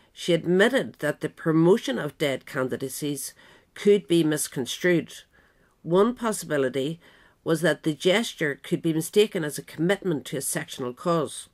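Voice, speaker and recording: A woman reading scripted narration in a measured, formal manner, as the narrator of a pamphlet. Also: deep voice.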